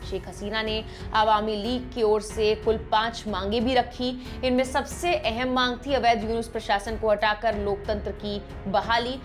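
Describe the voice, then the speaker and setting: Higher-pitched woman reading scripted news over music. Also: little pitch movement, unhurried, hardly any pauses. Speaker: news presenter. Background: background music.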